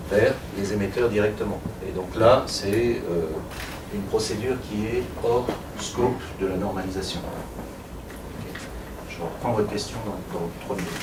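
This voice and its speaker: A man presenting a technical workshop to a room of attendees, explaining step by step with frequent fillers and light asides. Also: low voice. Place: conference room. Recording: echoey room from far off, audible noise.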